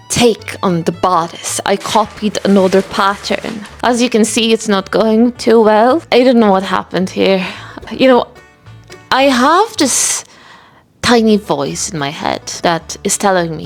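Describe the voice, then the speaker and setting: A woman chatting to camera about her sewing, self-mocking and quick to laugh. Her voice sits at an ordinary middle pitch. Soft music plays faintly underneath. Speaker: sewing vlogger. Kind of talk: casual chat to camera.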